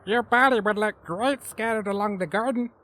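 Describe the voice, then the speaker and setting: A woman's voice: medium pitch, moderate pace, a bit bright, close to an everyday voice. A woman voicing a gleefully sadistic killer clown in a theatrical, taunting horror monologue.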